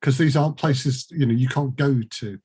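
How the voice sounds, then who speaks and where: A man's voice: medium pitch, normal speed, a little mellow, slightly rough. A middle-aged man hosting a casual podcast chat in a dry, joking, mock-formal manner. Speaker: podcast producer and host.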